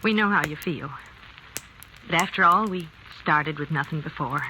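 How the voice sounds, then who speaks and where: Resigned farm mother played by a woman, soft-spoken, bright tone. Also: sits low, normal speed, a little hiss or hum, rough recording. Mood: quiet resignation. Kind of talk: vintage radio drama acting.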